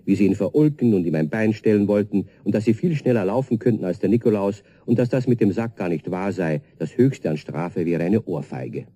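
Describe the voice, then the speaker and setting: A man's voice: on the low side, brisk, few pauses, mellow. A man narrating a scripted children's story as a storyteller, addressing his young listeners directly.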